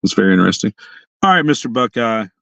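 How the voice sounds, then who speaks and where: A hoarse young man hosting a casual livestream chat from his parked car, rambling and often restarting his sentences. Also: on the low side, big pitch swings, fast, fairly bright tone, no room sound, lo-fi sound. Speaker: livestream host.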